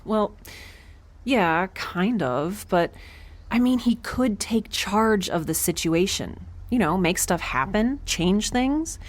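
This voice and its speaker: A woman's voice: medium pitch, expressive, takes it slowly. A woman playing a quirky, nervous scientist aboard a spaceship in an audio drama, rambling with sarcastic, self-deprecating humor. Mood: earnest, musing. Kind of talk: conversational audio drama acting.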